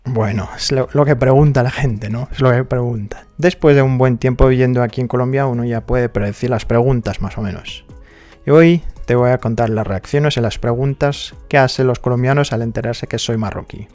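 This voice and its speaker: A man's voice: mid-range voice, steady tone, moderate pace, darkish tone, dry recording, faint music behind. Moroccan-accented man, casual explainer. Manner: conversational, many fillers like "digamos" and "¿no?". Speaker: vlogger.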